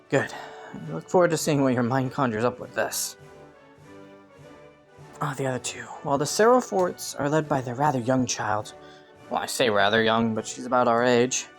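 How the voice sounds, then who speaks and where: A man playing an ambitious young prince in a romantic fantasy audio roleplay, with formal, courtly phrasing and soft hesitations, scheming yet earnest. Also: mid-range voice, natural voice. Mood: businesslike, scheming.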